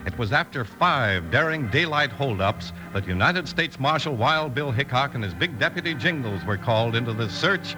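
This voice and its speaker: A man's voice: medium pitch, lively intonation, moderate pace, hardly any pauses, poor audio. A man announcing and narrating an old-time radio show in a hearty, emphatic scripted style. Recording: old radio recording with music underneath. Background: music.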